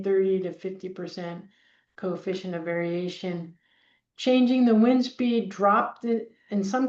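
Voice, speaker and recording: A woman's voice: mid-range voice, mellow. A middle-aged woman, a research scientist, giving an informal conference presentation in a conversational, explanatory way. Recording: dull, roomy, echoing sound.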